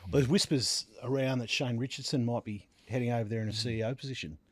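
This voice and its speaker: A man's voice: mid-range voice, steady tone, fast, breathy edge, no echo. Australian male podcast host, dry sound. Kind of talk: casual panel chat.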